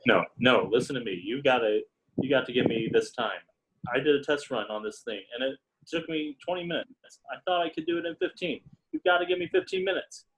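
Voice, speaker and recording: A man's voice: mid-range voice, big pitch swings, fairly quick. Desperate schemer over noisy audio, a man acting. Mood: desperate, pleading. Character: desperate, pleading male schemer on the phone. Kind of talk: table-read acting.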